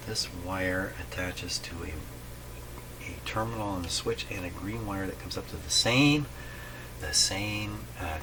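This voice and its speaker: A man doing unscripted hands-on repair commentary, thinking aloud in a hesitant, puzzled way as he works through a problem. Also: low voice. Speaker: radio repair host. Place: repair shop, voice away from the mic. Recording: distant mic, clear background noise.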